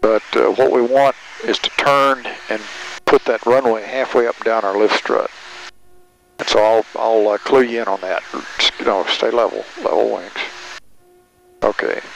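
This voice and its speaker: An older man in his sixties instructing in halting, short directives over an airplane headset intercom. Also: medium pitch, fairly even intonation, vocal fry, fairly bright tone, rough recording. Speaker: flight instructor. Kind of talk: calm cockpit instruction. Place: small airplane cockpit in flight. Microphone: aviation headset boom mic. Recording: aircraft intercom headset with engine noise.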